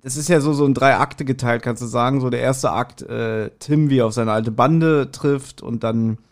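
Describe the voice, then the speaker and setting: A man's voice: medium pitch, fairly even intonation, normal speed. A man co-hosting a podcast, explaining his views in a colloquial, casual review chat. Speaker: podcast co-host. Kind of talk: casual review chat about audio dramas.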